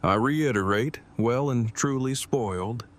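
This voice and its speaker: A man's voice: medium pitch, unhurried, lightly acted. A man acting in an audio drama as a formal, precise man in his thirties who speaks in long, pedantic phrases and is devoted to his partner. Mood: content, affectionate.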